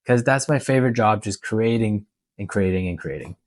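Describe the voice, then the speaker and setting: A man's voice: lowish voice, fairly quick, dry recording, clean studio sound. A man from Canada and Hong Kong talking candidly and informally in a question-and-answer vlog. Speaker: tattoo artist and vlogger.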